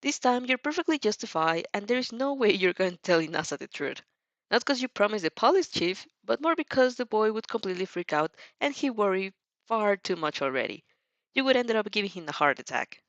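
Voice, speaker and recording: A woman's voice: mid-range voice, very animated, a little fast, fairly bright tone, no echo, highs cut off. A woman streamer talking informally and reading fan fiction aloud with expression. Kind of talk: informal livestream chat and expressive story reading.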